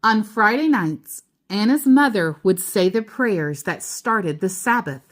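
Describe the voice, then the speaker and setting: Slow-paced woman reading a storybook aloud. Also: mid-range voice, expressive. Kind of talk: children's read-aloud with casual asides.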